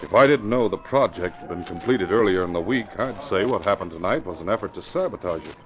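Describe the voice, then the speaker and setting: An actor playing a grave, guarded middle-aged police commissioner in an old-time radio crime drama, speaking with hesitant weight. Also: male voice, deep voice, animated, fast talker. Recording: muffled old radio recording.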